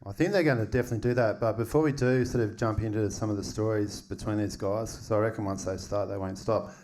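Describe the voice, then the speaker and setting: A man's voice: lowish voice, fairly even intonation, quick pace, darkish tone. A man, co-hosting a live podcast and leading into a question in a friendly way. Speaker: podcast co-host.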